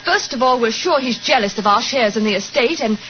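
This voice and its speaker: A woman's voice: a little high, expressive, a little fast, fairly bright tone, faint music behind. An actress playing a young woman in a 1940s radio mystery, speaking politely and composedly. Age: young adult. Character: young woman, gentle but resolute cousin. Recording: dull lo-fi 1940s radio broadcast with faint hiss.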